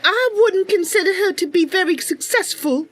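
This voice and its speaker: A woman plays a naive young wood elf adventurer in fantasy game roleplay. Her voice is high and very lively, sincere and wide-eyed, with music behind it. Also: normal speed. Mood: dismayed. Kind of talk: improvised in-character game roleplay.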